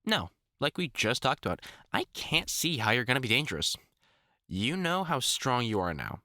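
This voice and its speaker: A man's voice: medium pitch, sing-song, moderate pace, pushed and bright, no room sound. A man playing an older, experienced vampire hunter in an audio roleplay, talking informally and reassuringly like a mentor, with dry jokes and mild swearing. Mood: encouraging, teasing. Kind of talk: intimate one-sided audio roleplay, conversational acting.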